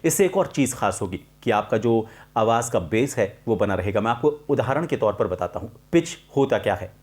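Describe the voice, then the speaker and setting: A man's voice: lowish voice, expressive, a little fast, darkish tone. Man teaching as a voice coach. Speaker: voice coach presenting a tutorial. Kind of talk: instructional explanation to viewers.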